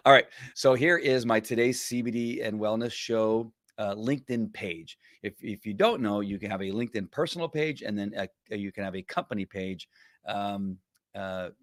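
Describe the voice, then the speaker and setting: A middle-aged man with a fairly low voice hosts a live tutorial from a small home studio. He talks casually into a boom mic, rambling with plenty of ums and restarts. The sound is close and dry. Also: no echo. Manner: chatty, rambling, many fillers and restarts. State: recovering from losing his voice. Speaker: host of a live video show. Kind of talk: casual screen-share tutorial. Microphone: studio mic on boom arm.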